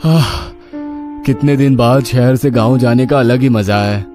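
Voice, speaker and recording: A man's voice: medium pitch, unhurried, voice pushed up, close to an everyday voice. A man voicing a curious, earnest young village man in a horror story. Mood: happy. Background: background music.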